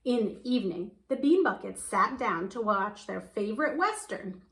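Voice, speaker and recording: A woman's voice: mid-range voice, expressive, normal speed, fairly bright tone. A woman reading a picture book aloud, telling the story expressively and stretching words for emphasis. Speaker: children's storybook reader.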